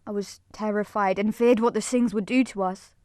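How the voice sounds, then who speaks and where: A woman's voice: medium pitch, fairly even intonation, moderate pace, a little mellow, no room sound, clean studio sound, lightly acted. A woman playing a frightened fourteen-year-old girl, telling her story with dramatic emotion in an audio drama. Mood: terrified. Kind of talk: dramatic first-person audio drama narration.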